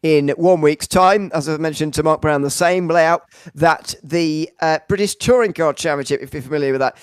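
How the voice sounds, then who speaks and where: A man commentating sim racing in a fairly high, smooth voice that carries clearly. The sound is close and dry, with no room echo. He fills his sentences with "uh" and restarts, and he sounds keen about the racing. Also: normal speed. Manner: rambling, filler-heavy, enthusiastic wrap-up. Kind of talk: broadcast commentary and driver interview.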